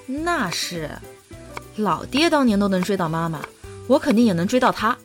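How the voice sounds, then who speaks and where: A woman's voice: medium pitch, very animated, normal speed, soft background music, natural voice. A woman playing a playful, cheeky young adult who is devoted and tender, in a modern Chinese audio drama. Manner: playful, coaxing.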